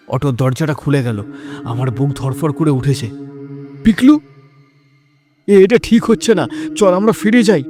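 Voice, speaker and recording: A man narrating a scripted first-person horror story in a suspenseful, dramatic delivery. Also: medium pitch. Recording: music behind the voice.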